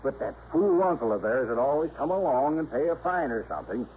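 A man's voice: mid-range voice, expressive, brisk, lightly acted. A man playing an exasperated, folksy small-town police sergeant in a 1960s radio drama, blustery and colloquial. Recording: dull old radio recording, faint noise.

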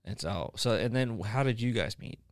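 A man's voice: on the low side, animated, normal speed, no echo, clean studio sound. A man, hosting a casual podcast chat and rambling with many restarts. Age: 20s. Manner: rambling, restarts often, laughs easily. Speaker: podcast host.

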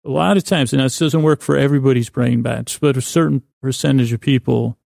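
Creaky, meandering man hosting a bedtime podcast. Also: medium pitch, darkish tone, dry recording, clean studio sound. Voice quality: creaky, gravelly. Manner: meandering, halting, frequent stammers and self-interruptions; lulling, soothing. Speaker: podcast host. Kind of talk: rambling bedtime monologue to put listeners to sleep.

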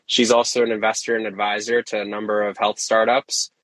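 Man, podcast host, low voice, dry close sound, friendly, halting questions. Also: level tone, a bit bright, dry recording. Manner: friendly, stumbles when asking questions. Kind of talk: podcast interview.

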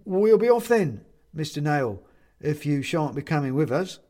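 A man's voice: fairly high pitch, very animated, moderate pace, dark tone, voice pushed up. A small, deferential toy man from a storybook, put on by a male reader. He speaks in a raised, lilting voice, politely and hesitantly, as if apologising. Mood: anxious, polite. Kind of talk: storybook character reading.

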